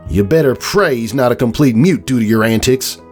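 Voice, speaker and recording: A man voicing a feisty, protective female farm pony, blunt and folksy, quick to scold, in a storybook reading. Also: mid-range voice, a little mellow, soft background music, voice pushed up, natural voice. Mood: angry.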